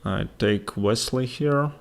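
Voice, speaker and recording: A young man from Latvia with a Russian accent, commentating a live chess game in a halting way, with many fillers and pauses. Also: mid-range voice, creaky.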